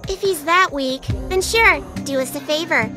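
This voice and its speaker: Woman acting a squeaky, cheeky little game fairy. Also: moderate pace, music in the background, lightly acted. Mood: callous, flippant. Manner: flippant, blunt, teasing. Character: small cheeky female fairy, blunt and sassy. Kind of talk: video game cutscene acting.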